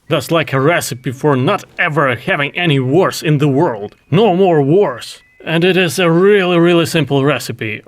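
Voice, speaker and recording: Sarcastic man. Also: medium pitch. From Ukraine. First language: Russian. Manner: sarcastic, mocking, heated. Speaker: commentary host. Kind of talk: reaction commentary.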